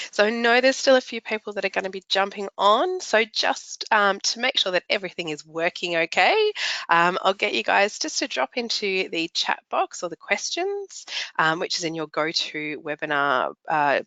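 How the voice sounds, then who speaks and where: A middle-aged woman with a slightly croaky, raspy voice, presenting a product launch webinar in a chatty, upbeat way with frequent ums. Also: mid-range voice, a bit bright, dry recording. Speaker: webinar presenter, naturopath trainer. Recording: dull lo-fi webinar audio.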